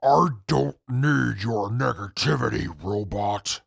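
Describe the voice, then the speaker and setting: Haughty, scornful convict played by a man, extremely gravelly, slow and formal, for a wasteland audio drama. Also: medium pitch, dry recording, clean studio sound, exaggerated voice. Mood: curt, determined. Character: stern, haughty male prisoner, proud and scornful. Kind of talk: post-apocalyptic audio drama acting.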